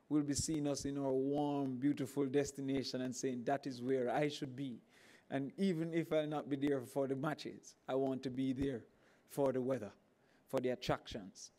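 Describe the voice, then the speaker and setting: A man with a Jamaican accent, a government minister, giving a formal speech at a podium with emphatic, rising emphasis. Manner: animated, emphatic, climbing pitch on key points.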